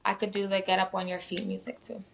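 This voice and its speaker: A woman's voice: medium pitch, quick pace, creaky, slight background noise. A young woman from New York, chatting casually as a guest musician with lots of "um" and "like". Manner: relaxed, many fillers like "um" and "like". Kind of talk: casual interview chat. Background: sirens at times.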